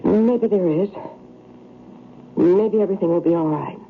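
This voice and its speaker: An actress playing a troubled, insistent psychiatrist in an old radio drama, speaking earnestly and precisely. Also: female voice, fairly low pitch, a little slow. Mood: grave, uneasy. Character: troubled, insistent female psychiatrist haunted by fatal dreams. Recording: narrow, noisy vintage radio broadcast.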